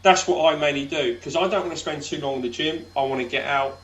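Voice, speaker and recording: Podcast guest, man, deep voice, loose rambling chat. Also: quick pace, a bit bright, muffled recording. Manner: rambling, many fillers and restarts. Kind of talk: casual interview chat.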